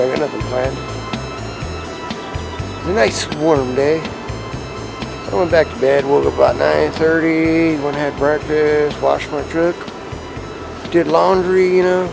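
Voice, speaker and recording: A man's voice: fairly high pitch, poor audio. A man talking casually and conversationally outdoors, explaining things in a laid-back, rambling vlog style. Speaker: vlogger showing a DIY radio antenna install. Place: outdoors beside his truck. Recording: clear outdoor ambient and vehicle noise.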